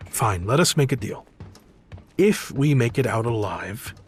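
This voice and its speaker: An actor playing a weary, gruff but fair squad leader in a video game, with formal, measured phrasing. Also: male voice, medium pitch, very animated, soft background music. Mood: grudging, conciliatory.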